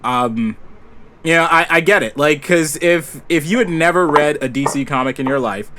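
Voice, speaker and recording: Bright, higher-pitched man chatting casually. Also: normal speed. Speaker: panel member, musician and lyric writer. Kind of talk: casual group Q&A chat.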